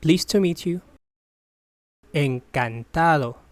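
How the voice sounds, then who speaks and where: A teacher reading a phrasebook drill. Each phrase is said once, translated, then repeated slowly in parts. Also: medium pitch, dry recording. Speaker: language teacher.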